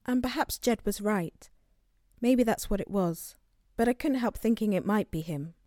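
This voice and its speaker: An actress playing an earnest, searching sister in a naturalistic audio drama. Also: female voice, medium pitch, lively intonation, normal speed, mellow, no room sound, clean studio sound, natural voice. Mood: wistful.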